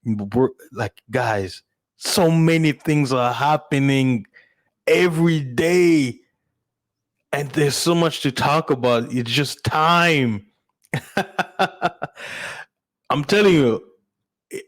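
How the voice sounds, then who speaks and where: A man from Congo chatting casually to his listeners as a streamer and podcast host, in an informal way. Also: mid-range voice. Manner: informal, chuckles often.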